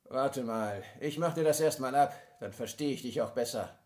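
A man's voice: mid-range voice, moderate pace, darkish tone, close to an everyday voice. A man playing a menacing, sneering kidnapper in an audio drama.

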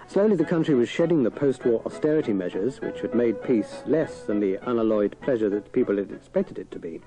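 A man's voice: mid-range voice, lively intonation, a little fast, music in the background, rough recording. A man presenting on radio, reading a scripted, nostalgic narration.

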